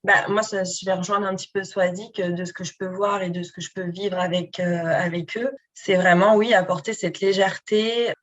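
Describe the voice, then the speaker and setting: Upbeat woman with hesitant pauses. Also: lowish voice, steady tone, lo-fi sound. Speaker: foundation staff member. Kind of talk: casual podcast conversation.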